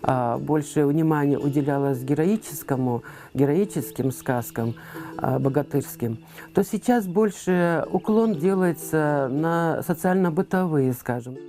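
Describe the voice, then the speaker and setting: Very mellow, halting interviewee from Ingushetia. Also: medium pitch. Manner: halting, searching for words. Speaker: interviewed folklore commentator. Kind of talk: unscripted interview remarks. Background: faint background music.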